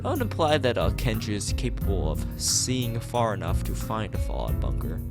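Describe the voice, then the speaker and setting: A man with a fairly low voice reads a visual novel aloud for a stream. He trips over words now and then and goes back over phrases. Loud game music plays behind him. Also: sing-song, moderate pace. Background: game music and rain sound effects.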